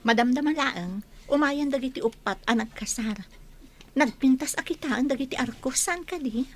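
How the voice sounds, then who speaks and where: A woman's voice: sits high, expressive, moderate pace. A woman playing a gossipy village wedding guest in an Ilocano radio drama, with an Ilocano accent.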